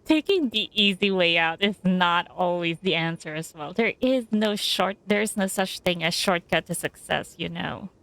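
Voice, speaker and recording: Low-voiced woman streaming a game. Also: animated, normal speed, vocal fry, few pauses, fairly bright tone, no room sound, poor audio. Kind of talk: casual live gameplay commentary.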